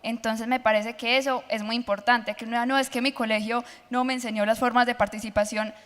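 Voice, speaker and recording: A woman's voice: fairly high pitch, fairly even intonation, quick pace, a bit bright. A teenage girl speaking passionately and argumentatively as a panelist at a public forum. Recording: long hall reverb.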